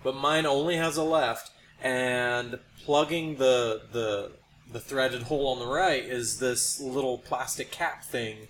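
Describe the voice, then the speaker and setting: Male podcast co-host, average pitch, casual wry storyteller, noticeable background noise. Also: steady tone, slow, a bit bright. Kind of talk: casual chat. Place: small room, podcast setup. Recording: noisy podcast recording.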